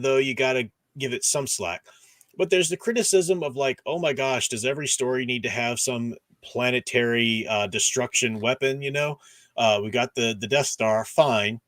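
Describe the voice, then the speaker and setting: Male host, mid-range pitch, dry sound. Informal, enthusiastic recap and discussion. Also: fairly bright tone, no echo. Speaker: host of a book discussion stream. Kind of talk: casual discussion and plot recap.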